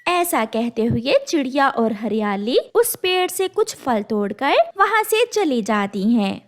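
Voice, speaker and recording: A woman's voice: a little high, sing-song, a little slow. A woman storyteller reading scripted narration for a children's cartoon.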